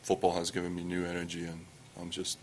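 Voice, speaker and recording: Low-voiced young man, Estonian accent. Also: completely flat delivery, slight background noise. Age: young adult. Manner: halting, many fillers and restarts, low-key. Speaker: athlete at a press conference. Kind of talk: press conference answers.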